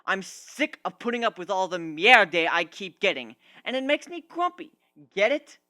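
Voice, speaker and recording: A narrator acting an indignant young male griffin, loud and bright. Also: medium pitch, big pitch swings, moderate pace, dry recording, clean studio sound, voice pushed up. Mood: bitter ranting. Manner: ranting, emphatic, aggrieved.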